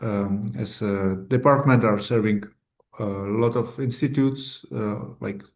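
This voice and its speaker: A man's voice: on the low side, slow pace. A man introducing himself on a video call, hesitant and full of fillers. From Czech Republic. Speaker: library open science staff. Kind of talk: online meeting self-introduction.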